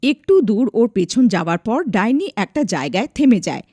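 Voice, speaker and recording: A woman's voice: medium pitch, sing-song, normal speed, warm tone, no room sound, studio quality. A woman narrator reading a scripted folk tale in a storytelling style.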